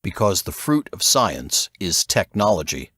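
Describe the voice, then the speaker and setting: A man voicing a grave, assured male investigator who lectures in a measured way, in an audiobook. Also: deep voice, sing-song, sharp tone, slightly rough, no room sound, full character voice. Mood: assured, didactic. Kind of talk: audiobook character dialogue.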